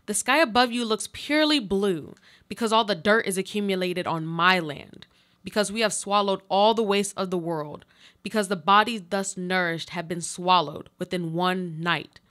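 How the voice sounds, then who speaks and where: A woman's voice: mid-range voice, moderate pace, fairly bright tone, lightly acted. A woman playing another woman's desperate, insistent double in a live radio play, with solemn, literary delivery. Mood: pained, accusing.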